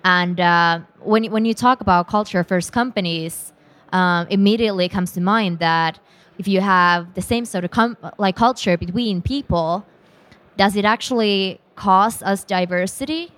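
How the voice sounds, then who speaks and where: A woman's voice: mid-range voice, unhurried, a bit bright. Woman moderating a panel.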